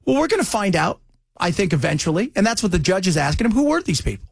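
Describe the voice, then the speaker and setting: Male American talk radio host. High, lively pitch swings, opinionated and chatty, with rhetorical questions and mocking asides. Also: fast talker, vocal fry, no echo, clean studio sound. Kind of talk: opinionated political radio commentary.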